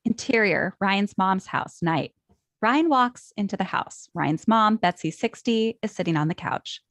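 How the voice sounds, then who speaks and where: A woman's voice: medium pitch, lively intonation, unhurried, a bit bright, no room sound. Female stage-direction reader, mid-range voice, scripted narration for a comedy table read.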